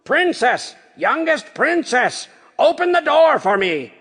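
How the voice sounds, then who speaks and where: A man voicing a pleading, insistent frog in a slow storybook character voice, with long reverb. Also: extremely high pitch, big pitch swings, fairly bright tone, voice pushed up, exaggerated voice. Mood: pleading, calling out.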